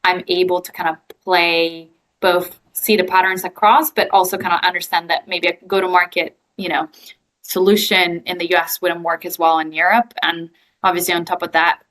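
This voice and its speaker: A woman's voice: lowish voice, little pitch movement, moderate pace, fairly bright tone. A young woman with an Italian accent, answering interview questions in a chatty way with frequent fillers. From Italy. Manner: chatty, frequent ums and likes. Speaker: guest, growth equity investor.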